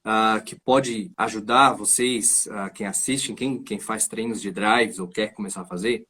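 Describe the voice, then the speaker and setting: A Brazilian man with a husky voice, talking casually and explaining things as host of a live stream about voice. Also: lowish voice, fairly even intonation, a little slow, pushed and bright. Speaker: live show host and voice coach.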